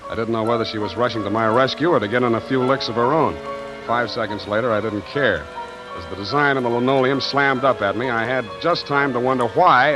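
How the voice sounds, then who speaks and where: A man's voice: medium pitch, natural voice, rough recording. Guy playing hardboiled american private eye, wry and clipped, old radio noir with rain and music behind. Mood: wry, punchy. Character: wry hardboiled private detective, tough and unflappable. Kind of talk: 1940s radio noir narration and acting.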